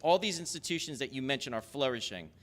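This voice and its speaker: Man as bright, courteous charity gentleman. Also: mid-range voice, animated, quick pace, close to an everyday voice. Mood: earnest, persuasive. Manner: courteous, formal, earnest. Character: polite, earnest gentleman collecting charity for the poor. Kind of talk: old-time radio drama acting.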